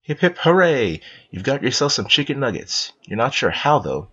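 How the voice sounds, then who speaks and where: A man's voice: mid-range voice, very animated, moderate pace, fairly bright tone, husky. A man playing a playful, doting narrator who tells a cartoon storybook to a small child, in slightly dull sound. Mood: cheerful. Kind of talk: second-person storybook narration.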